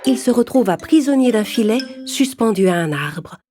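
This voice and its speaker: A woman's voice: on the high side, animated, normal speed. A woman narrating a scripted children's story as the storyteller. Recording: prominent music under the voice.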